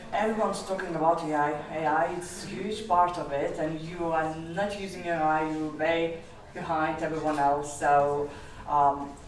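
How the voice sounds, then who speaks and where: A woman with an Eastern European accent, a business advisor speaking directly on a panel in non-native English. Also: on the low side. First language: an Eastern European language. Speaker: tax strategist and business advisor. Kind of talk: panel discussion. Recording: echoey room from far off.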